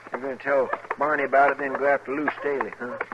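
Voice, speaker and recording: An actor playing an earnest, deferential deputy sidekick with a rural western American accent in a 1950s radio drama, folksy and questioning. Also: male voice, mid-range voice, flat delivery, quick pace, natural voice. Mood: concerned. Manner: folksy, plain-spoken, questioning. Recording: noisy muffled vintage radio recording.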